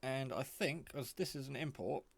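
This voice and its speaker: A man giving a casual, off-the-cuff product demo, rambling in a low-key way as he thinks aloud. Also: normal speed, creaky, a little hiss or hum. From England. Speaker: hobbyist reviewer.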